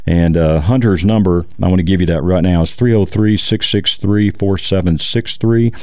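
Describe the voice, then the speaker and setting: A man's voice: low voice, darkish tone, clean tone. A man with an American accent hosting a radio show, talking conversationally as he interviews and promotes. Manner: repeats phone numbers slowly and deliberately.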